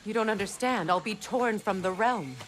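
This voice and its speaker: An actress playing a proud, wary goddess in a video game, speaking in a curt, formal and commanding manner. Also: female voice, medium pitch, normal speed, over music, natural voice. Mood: alarmed. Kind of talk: dramatic video game acting.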